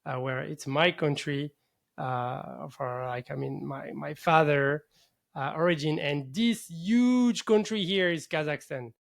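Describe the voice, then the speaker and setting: High-pitched man hosting a podcast. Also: slow pace, gravelly. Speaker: podcast host. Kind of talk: casual interview chat.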